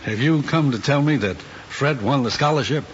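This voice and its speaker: Man playing worried middle-aged dad in an old drama, halting and earnest, muffled hissy soundtrack. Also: mid-range voice, close to an everyday voice. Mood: troubled. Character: ambitious, anxious middle-aged working father of a student. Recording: dull old film soundtrack, faint hiss.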